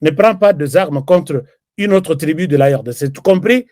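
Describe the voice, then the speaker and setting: A man's voice: a little high, moderate pace, darkish tone, dry recording, studio quality. A man from Congo hosting a live political commentary show, chatting and reading viewer comments. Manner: chatty, switches between French and Lingala, reads viewer comments. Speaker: host.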